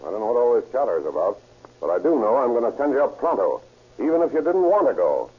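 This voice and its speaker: An actor playing a gruff, unyielding police chief, blunt and curt, in an old radio crime drama. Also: male voice, mid-range voice, very animated, quick pace. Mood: forceful. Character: gruff, unyielding middle-aged big-city police chief. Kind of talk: 1940s radio acting. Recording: thin, narrow-band vintage radio recording.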